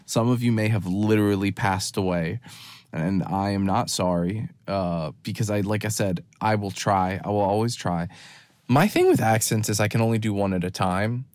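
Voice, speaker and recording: A man giving a rambling, deadpan and self-mocking running commentary from a parked car, recorded on his phone. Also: on the low side, normal speed, dry recording, clean studio sound. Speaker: podcast host. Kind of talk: rambling off-the-cuff monologue. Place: parked car in a store parking lot.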